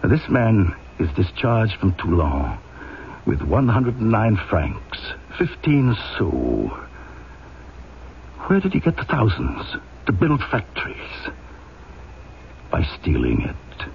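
Radio drama villain: relentless inspector, deliberate and insinuating, wide swings of pitch, old noisy broadcast sound. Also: male voice, sing-song, creaky, lo-fi sound, full character voice. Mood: cold suspicion. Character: relentless, suspicious male police inspector, coldly patient.

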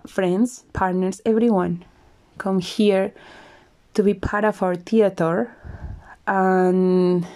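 Smoky-voiced woman, husky after a flu. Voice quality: smoky, low voice. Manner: reflective, chatty, with soft laughs and restarts. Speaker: podcast host. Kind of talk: casual solo podcast monologue.

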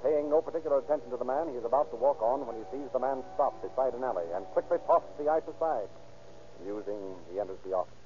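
A sober male narrator played by an actor delivers scripted crime narration at a rapid clip. The sound is a muffled 1930s radio recording. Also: medium pitch, mellow, slight background noise, natural voice.

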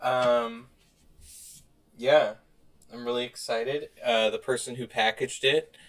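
A man's voice: medium pitch, takes it slowly, a bit bright. A man co-hosting a podcast in casual, joking banter, swearing now and then. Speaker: podcast co-host.

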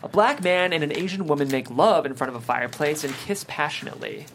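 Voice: medium pitch, big pitch swings, fast talker, fairly bright tone, slight background noise. A narrator reading out scene headings and stage directions from a screenplay at a table read.

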